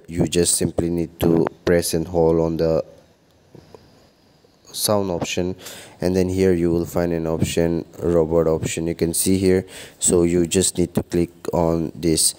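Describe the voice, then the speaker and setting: Deep voiced guy doing a phone tutorial, pretty monotone, talks thru the steps. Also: a little slow, clean tone. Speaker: tutorial host. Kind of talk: phone app tutorial narration.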